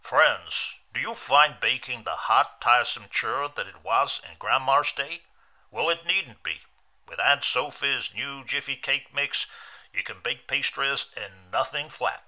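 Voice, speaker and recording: A man's voice: medium pitch, animated, a little slow, bright, forceful tone. A man voicing an eerie radio advertising voice with slick salesman patter in a horror story. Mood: brisk selling. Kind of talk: old radio commercial.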